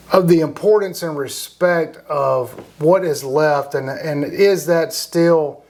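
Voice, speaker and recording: A man hosting a casual conversational interview, talking in a rambling, reflective way. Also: fairly high pitch. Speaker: interview host.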